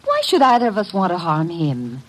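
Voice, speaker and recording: A woman playing a polite, coy young lady in an old radio drama, courteous, expressive and theatrical. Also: fairly low pitch, fairly quick, slightly rough, exaggerated voice. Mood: worried. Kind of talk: 1940s-1950s radio drama acting. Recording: noisy vintage radio recording.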